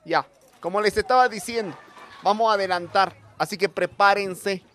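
A man's voice: high-pitched, moderate pace, a bit bright, a little hiss or hum. A man playing an exasperated deputy school director, scolding a class in a crude, repetitive way in a comic radio sketch. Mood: stern.

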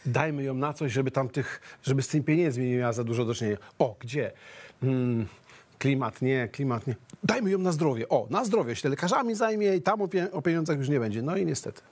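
A man's voice: mid-range voice, fairly quick, slightly rough. A middle-aged man co-hosting a satirical politics show in a TV studio, joking and acting out imagined dialogue, on a lapel mic. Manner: jokey, animated, acts out imagined dialogue.

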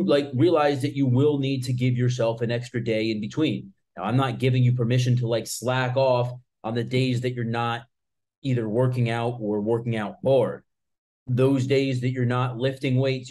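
Man, thirties, mid-pitched voice, casual advice talk, blunt, emphatic, informal coach-like delivery. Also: warm tone. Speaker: martial arts instructor and channel host.

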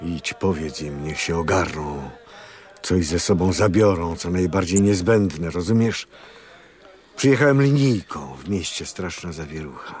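Slow, deep-voiced gruff old priest. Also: male voice, a little mellow. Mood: urgent, insistent. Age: older. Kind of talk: radio drama acting.

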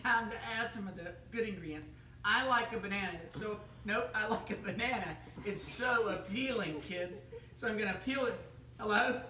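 A man's voice: high voice, bright, forceful tone, slight background noise. A young man playing a goofy, bumbling male TV chef who rambles, chuckles and fumbles in a home kitchen. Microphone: camera across the room. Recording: echoey room, mic from far off.

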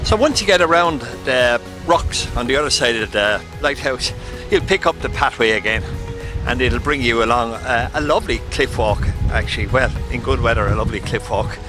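A man's voice: medium pitch, lively intonation, normal speed, music in the background. A man giving an informal, explanatory guide to locations as the host of a photography vlog.

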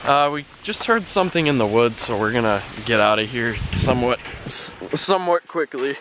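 A young man talks to a camera he holds at arm's length while riding in a car at night. His voice sits at a middle pitch. He chats nervously and fills gaps with "uh" and "kinda", over loud rumbling road noise. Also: expressive, moderate pace. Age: young adult. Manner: chatty, a bit nervous, many fillers. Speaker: vlogger. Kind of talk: casual vlog to camera. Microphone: handheld camera at arm's length.

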